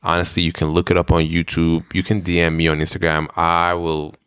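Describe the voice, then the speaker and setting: A man who was raised in Israel, co-hosting a podcast in relaxed, rambling, casual chat with some swearing. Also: sits low, a little fast, smooth, no echo, studio quality. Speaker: podcast co-host.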